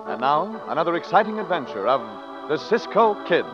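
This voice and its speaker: A man's voice: on the high side, very animated, brisk, over loud music. A man playing a dramatic American announcer, reading a scripted introduction in vintage radio style. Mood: solemn, dramatic. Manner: dramatic, declamatory. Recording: dull vintage radio recording.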